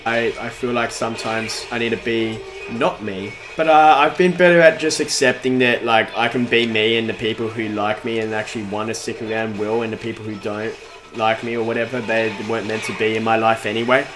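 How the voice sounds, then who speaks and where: A man's voice: lowish voice, steady tone, brisk, a bit bright, soft background music. A nineteen-year-old man streaming a game, chatting casually in a rambling, talkative way while answering chat, with a voice that sounds younger than his age. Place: at home in front of a big TV.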